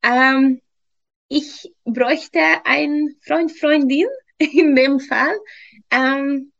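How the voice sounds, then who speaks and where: A woman in her early thirties from Hungary with a Hungarian accent, haltingly searching for words as she tells her own story in an interview. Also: sits high, steady tone. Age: early 30s. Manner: halting, searching for words in non-native German. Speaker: interview guest, fitness trainer. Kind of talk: personal account in an interview. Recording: dull lo-fi sound.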